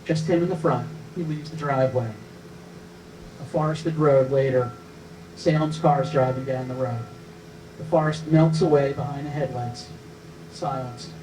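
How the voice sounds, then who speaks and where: A man's voice: medium pitch, normal speed, long pauses. A man narrating stage directions and scene headings at a screenplay table read. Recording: echoey room with clear background noise.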